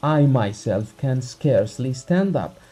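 A man's voice: mid-range voice, big pitch swings, a little slow, warm tone, husky, pitched above the performer's own voice. A man voicing a grieving, exhausted doctor in a dramatized audiobook, protesting formally and indignantly. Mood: indignant grief.

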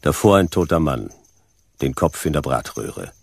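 A man playing a laconic, sceptical hard-boiled detective in a radio play, speaking in terse, deadpan fragments. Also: rumbling low, moderate pace, creaky, slightly rough, dry recording, full character voice. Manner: terse, deadpan, clipped sentences. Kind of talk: noir radio play narration and dialogue.

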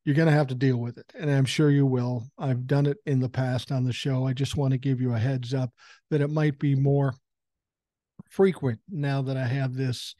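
Sixties male podcast host with a cold, blunt and profane. Mellow voice, dry close-mic sound. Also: mid-range voice, flat delivery, normal speed, no room sound. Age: 60s. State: has a common cold. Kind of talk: casual political commentary monologue. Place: home room with a couch behind him. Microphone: large foam-covered dynamic mic close to the mouth.

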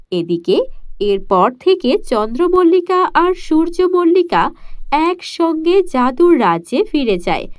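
A woman's voice: extremely high pitch, dry recording, clean studio sound. A woman giving scripted narration of children's cartoon fairy tales.

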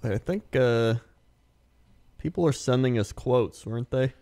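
A man's voice: mid-range voice, a little slow, mellow. A man co-hosting a casual podcast, laid-back and easygoing, chatting in an amused way while getting over a cough. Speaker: podcast co-host.

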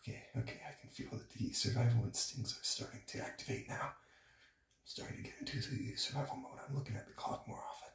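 A man in his early twenties, sitting in cold water, talking to himself in halting, breathy whispers while he shivers. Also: mid-range voice, steady tone, far from the mic. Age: early 20s. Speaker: vlogger. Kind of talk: shivering self-talk vlog. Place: small bathroom, sitting in a bathtub. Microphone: phone camera held close. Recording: echoey bathroom, noisy phone audio.

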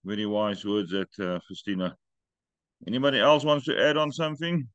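A man's voice: lowish voice, fairly even intonation, brisk, fairly bright tone, no room sound, dull audio. A middle-aged man hosting a video call meeting, friendly and joking as he invites others to speak. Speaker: group meeting host. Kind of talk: casual video call chat. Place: home room with stone wall. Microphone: laptop webcam video call.

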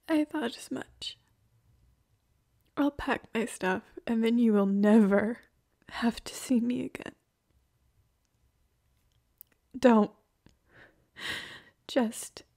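A young woman playing a hurt, heartbroken girlfriend in an emotional, halting ASMR roleplay monologue. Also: fairly high pitch, warm tone. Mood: resigned, heartbroken.

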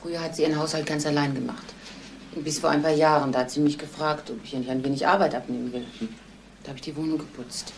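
A woman's voice: sits low, steady tone, quick pace, a little mellow, a little hiss or hum. An actress playing a plain-spoken, artless cleaning woman who answers police in a German crime radio drama. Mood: explanatory.

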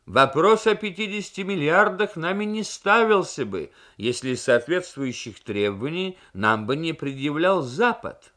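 Man acting an emphatic Soviet negotiator, pitch swinging high. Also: very animated, measured pace, a bit bright. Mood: indignant, insistent. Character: Soviet diplomat, emphatic male negotiator pressing his argument. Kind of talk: dramatized audiobook reading.

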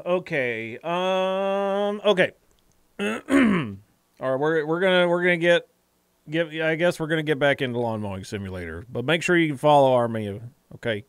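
A man's voice: on the high side, animated, measured pace, no echo, clean studio sound. A man livestreaming a game in casual, rambling chat, repeating phrases and swearing freely, with a put-on folksy hillbilly drawl.

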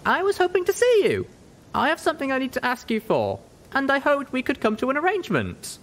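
Man putting on an earnest, very high young female pony. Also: huge pitch swings, a little fast, a bit bright, exaggerated voice. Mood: hopeful.

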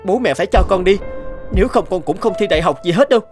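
An actor playing a stubborn, naive teenage son in an animated family drama, earnest and insistent. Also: male voice, high voice, quick pace. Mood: pleading, insistent. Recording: music behind the voice.

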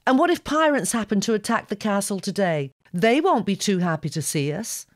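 A woman's voice: mid-range voice, sing-song, brisk, dry recording, clean studio sound, voice pushed up, close to an everyday voice. A woman voicing a proud, bossy young gargoyle girl with an imperious manner in an audio story. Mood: sarcastic. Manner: imperious, scoffing.

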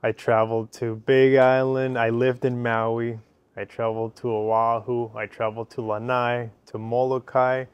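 Slow-talking man with an Ecuadorian Spanish accent. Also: medium pitch, level tone, smooth, no room sound, lo-fi sound. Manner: short simple sentences, repeats phrases. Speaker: interview guest. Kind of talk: casual interview. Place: covered outdoor patio by a fireplace.

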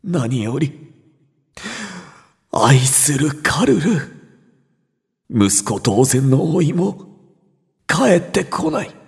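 A proud, suffering composer in a radio drama. His delivery is slow and declamatory, with a gravelly creak, and it rings in a long reverb over music. He is theatrical and defiant. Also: a little high, big pitch swings, full character voice. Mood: anguished, weary.

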